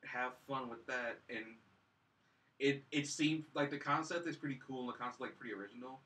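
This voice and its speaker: A man's voice: medium pitch, fairly quick, pushed and bright, distant mic. A young man chatting casually as a film review panelist, hesitant and often repeating himself. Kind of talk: casual chat.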